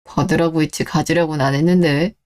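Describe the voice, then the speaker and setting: Woman voicing a steady young boyfriend, pitched low. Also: fast, natural voice. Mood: earnest, teasing. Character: young man, steady, devoted, plain-spoken boyfriend. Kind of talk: Korean radio drama dialogue.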